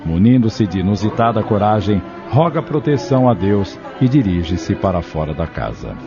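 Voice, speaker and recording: A man's voice: lowish voice, big pitch swings, normal speed. A man narrating an audio drama from a script. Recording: muffled lo-fi, loud music behind.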